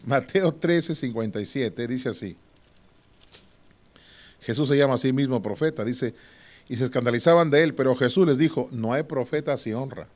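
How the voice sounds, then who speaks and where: A man teaching a Bible class in a preaching style. He often asks the class to agree and moves between loud and hushed delivery. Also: mid-range voice, normal speed. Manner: swings from loud to hushed, with short laughs.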